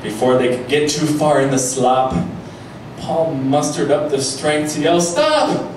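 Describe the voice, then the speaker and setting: A man's voice: a little high, steady tone, a little slow, a little mellow. Male storyteller in a very echoey room. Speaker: comedic storyteller. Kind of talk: live reading of a mock children's rhyme. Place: live venue stage before an audience. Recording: very echoey, noisy live room, far mic.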